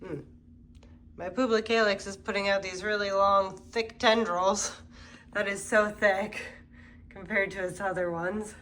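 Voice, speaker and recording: A woman's voice: mid-range voice, unhurried, a little hiss or hum. A woman hosting a plant channel, congested from a cold, chatting casually as she walks through her home. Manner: relaxed, chatty, walking through rooms with fillers. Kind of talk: casual home-tour vlog. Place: home, moving between rooms.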